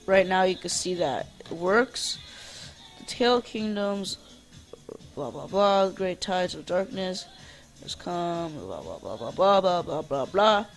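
A gaming tutorial host with a mid-pitched voice takes it slowly. The delivery is informal and rambling, like casual step-by-step help. Music plays faintly behind the voice. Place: indoors at a computer.

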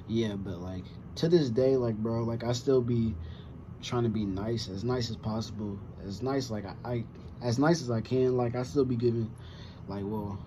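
A man's voice: mid-range voice, noisy background. Slangy young man, muffled audio. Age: 20s. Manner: slangy, rambling, frequent profanity. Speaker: reaction video host. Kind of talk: casual chat to camera. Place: small room with wooden cabinets indoors. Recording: dull lo-fi sound, music behind.

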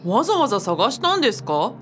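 Sardonic sidekick played by a woman, radio drama: fairly high, animated voice, teasing and exasperated, faint music behind. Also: fairly quick. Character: wry, teasing, exasperated companion of a spirit.

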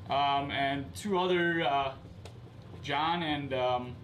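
A man's voice: mid-range voice, takes it slowly, a bit bright, slight background noise, low-quality recording. A teenage boy explaining a toy scene, with hesitant fillers and short agreeing replies. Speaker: student answering. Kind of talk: casual explaining. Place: basement room.

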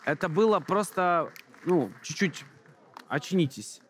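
A male jury member with a fairly high voice, speaking earnestly and with measured care. The recording is clean. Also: animated, slight background noise. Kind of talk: judge's feedback.

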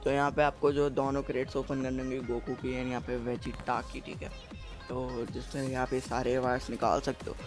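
Guy walking thru a game event, mid pitch, soft music under it. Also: monotone, a little fast. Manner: explains steadily, says "ठीक है" again and again. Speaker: gaming YouTuber. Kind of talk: screen-recorded game guide.

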